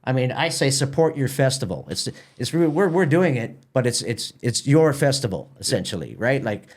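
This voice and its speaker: Mid-pitched man, halting talker. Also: big pitch swings. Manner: halting, repeats words, tags with "right?". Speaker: festival organiser, podcast guest. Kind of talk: casual interview chat.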